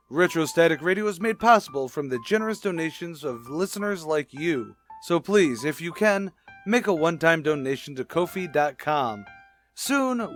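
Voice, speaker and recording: A man's voice: a little high, fairly bright tone. A man serves as announcer for a radio podcast. He reads a scripted sign-off with music behind him. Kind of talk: scripted radio show outro.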